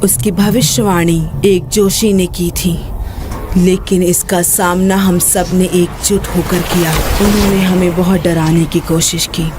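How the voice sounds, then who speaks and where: An actress playing a grave, bitter, commanding demon mother in a dubbed dark fantasy game, speaking solemnly and imperiously. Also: fairly low pitch, steady tone, unhurried, natural voice. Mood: solemn, resolute. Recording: music under the voice.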